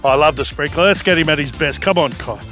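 A man's voice: on the high side, fast, sharp tone. A middle-aged Australian man hosting casual sideline interviews with jovial, matey banter into a handheld microphone. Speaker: roving reporter and host. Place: outdoor sports field. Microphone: handheld reporter microphone. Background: music playing.

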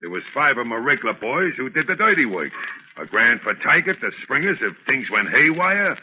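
A man's voice: medium pitch, sing-song, fairly quick, natural voice. An actor playing a callous, mocking gang boss with slangy tough-guy talk in an old radio drama. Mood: brusque, mocking. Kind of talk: 1930s radio gangster acting. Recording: muffled, noisy 1930s radio recording.

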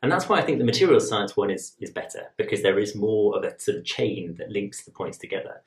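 A man in his thirties speaks to camera as an admissions tutor, measured and conversational, often checking in with a quick question. His voice sits a little low. The recording carries a noticeable roomy echo. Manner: measured, conversational, rhetorical asides. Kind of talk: explaining to camera, advice lesson.

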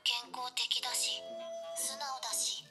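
A woman's voice: on the high side, level tone, a little slow, sharp tone, soft background music, heavily acted. A woman voicing a young girl in an anime audio drama. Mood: cheerful banter.

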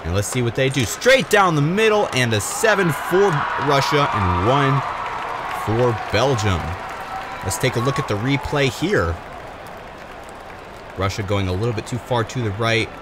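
A male commentator calls a race play by play in a medium-pitched voice. A loud crowd and heavy background noise run behind him throughout. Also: lively intonation, measured pace. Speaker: sports commentator for marble races. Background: loud crowd and ambient noise.

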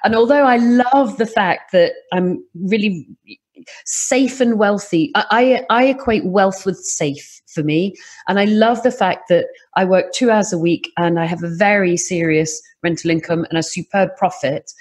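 A middle-aged woman from Scotland with an English accent, telling a podcast host about her property investing in a lively, animated way. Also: medium pitch, measured pace. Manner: lively, animated storytelling with laughs. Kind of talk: podcast interview.

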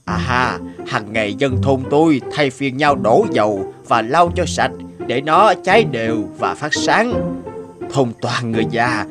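A man voicing a friendly old villager in an animated fairy tale, with an unhurried, drawn-out delivery. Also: medium pitch, over music, lightly acted. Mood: friendly, explaining. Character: friendly old male villager, slow-talking and obliging.

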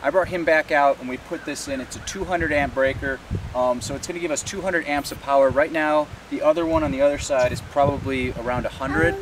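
A man's voice: a little high, steady tone, fairly quick. A man in his thirties talking informally and plainly, explaining things like a farmer hosting a casual vlog. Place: outdoors on a farm. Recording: clear background noise, hiss.

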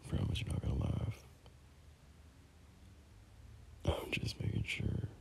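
A man playing a gentle, teasing young boyfriend in an intimate ASMR roleplay, speaking softly and breathily, often half-whispering in a hesitant, soothing way. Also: brisk, natural voice. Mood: hesitant, confiding. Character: gentle, teasing young boyfriend comforting his scared partner. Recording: faint background hiss.

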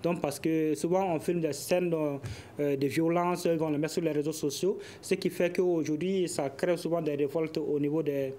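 A young man, a guest expert on a studio panel, explaining in a measured way with frequent hesitations. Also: a little high, steady tone, mellow. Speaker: researcher guest. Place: television studio.

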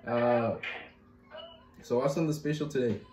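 A man's voice: medium pitch, measured pace, a little mellow, reverberant, a little hiss or hum, low-quality recording. Cheeky young South African man. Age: young adult.

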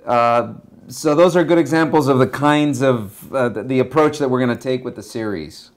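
A man in his forties, raised in Argentina with Spanish as his first language, talks calmly and casually at a medium pitch. He speaks slowly and thoughtfully, often pausing with "uh" as he picks his words. He was recorded in a small room indoors by a camera across the table. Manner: relaxed, measured, frequent hesitations. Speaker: director, interview guest. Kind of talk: casual interview talk.

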